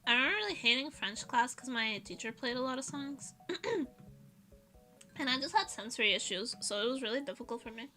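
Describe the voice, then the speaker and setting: A woman's voice: high voice, lively intonation, a little fast, bright, forceful tone, faint music behind. A woman streaming as a vtuber, rambling in casual chat and laughing often as she answers her chat.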